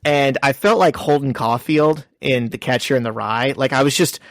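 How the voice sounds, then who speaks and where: A man's voice: mid-range voice, moderate pace, no room sound, clean studio sound. A man co-hosting a podcast, chatting casually and telling self-mocking stories. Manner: joking, self-mocking storyteller. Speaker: podcast co-host. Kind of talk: casual chat.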